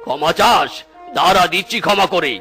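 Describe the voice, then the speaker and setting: A man's voice: high-pitched, normal speed, creaky, sharp tone, soft background music, exaggerated voice. A man voicing a cruel, domineering tiger headman, a sneering villain in a melodramatic cartoon. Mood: menacing, angry.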